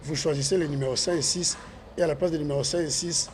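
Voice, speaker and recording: A man's voice: a little high, steady tone, fast, slight background noise. A man, a parliamentary candidate answering interview questions with earnest, repetitive appeals to voters. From Congo.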